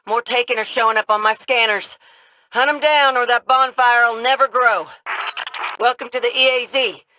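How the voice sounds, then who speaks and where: A man's voice: extremely high pitch, normal speed, noisy background. A man voicing a laid-back, folksy video game character who urges the player on at a bonfire event, clipping his words. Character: folksy drawling man hosting a fireside event.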